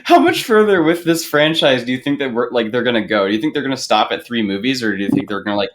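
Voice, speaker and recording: An expressive speaker with a mid-pitched voice and a wide, lively range. The talk is casual podcast chat, full of questions and halting restarts.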